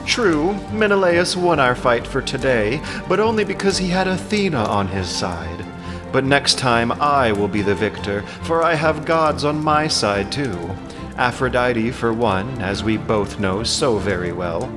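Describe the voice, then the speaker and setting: A man's voice: medium pitch, expressive, unhurried, over music, pitched above the performer's own voice, lightly acted. A man playing a vain, amorous Trojan prince, pleading and boasting in a declamatory, wooing way in an epic audio drama. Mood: boastful.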